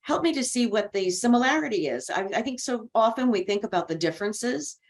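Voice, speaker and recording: A woman hosting a webinar interview, asking questions in a warm, encouraging way. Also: on the high side, very animated, brisk. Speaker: host.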